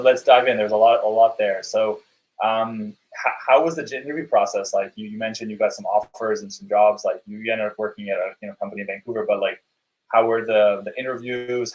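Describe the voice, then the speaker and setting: A man's voice: fairly low pitch, fairly even intonation, fast talker, darkish tone, poor audio. A man hosting a live webinar interview, upbeat and loose, with many fillers and restarts. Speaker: webinar host.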